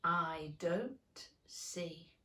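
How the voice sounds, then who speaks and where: A woman voicing a sleepy, stern male storybook caterpillar with a slow, curt, imperious drawl. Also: lowish voice, pushed and bright, voice pushed down, heavily acted. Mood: languid, stern. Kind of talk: children's storybook character voice. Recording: roomy, echoing indoor sound.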